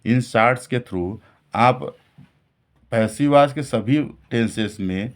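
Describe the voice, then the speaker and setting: A man's voice: medium pitch, slow. A man explaining a lesson as a teacher, in a didactic way that repeats and rephrases key points. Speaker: English grammar teacher. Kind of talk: classroom-style lesson explanation in Hindi mixed with English terms.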